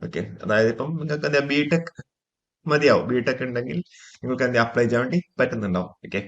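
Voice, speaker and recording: A man's voice: mid-range voice, fast talker, dull audio. A man with a Malayali accent presenting an informal coaching explainer to viewers, mixing in English terms and repeating stock phrases.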